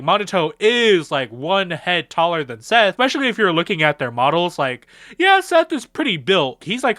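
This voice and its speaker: A man's voice: on the high side, bright, forceful tone, no room sound, studio quality. A man hosting his own channel, rambling through casual, slangy fan commentary and acting out bits of imagined dialogue. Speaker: video creator and channel host.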